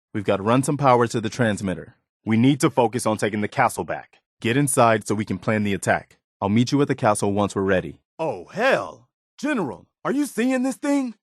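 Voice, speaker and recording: A man's voice: fairly low pitch, no room sound, studio quality, close to an everyday voice. An American man voicing an earnest, loyal, plain-spoken militia officer in a post-apocalyptic video game. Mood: resolute, then alarmed. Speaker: video game companion character. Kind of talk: scripted video game dialogue.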